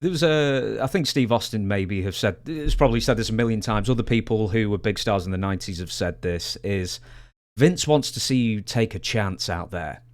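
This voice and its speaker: A man with a fairly low voice, working as a podcast interviewer. He speaks conversationally and frankly, building long, wordy questions. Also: normal speed.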